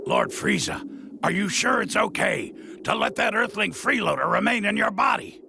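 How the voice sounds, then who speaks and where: A man voicing a belligerent, loyal alien henchman in a video game, gruff and hotheaded. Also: sits high, animated, moderate pace, noisy background, heavily acted. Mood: alarmed, indignant. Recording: loud music behind. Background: loud game music.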